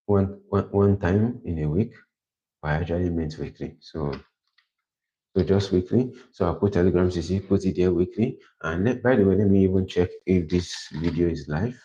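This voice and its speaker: A man with a deep voice hosting a livestream, thinking aloud in a casual, rambling way and correcting himself as he goes. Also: moderate pace. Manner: rambling, self-correcting, plans out loud. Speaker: livestream host. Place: quiet indoor room. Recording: dull lo-fi livestream audio.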